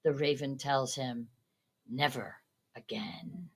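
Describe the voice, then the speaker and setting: A woman's voice: extremely low pitch, slow, husky. A young woman, a student, reading a scripted story retelling aloud, quoted dialogue included. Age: teens or young adult. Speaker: student reader.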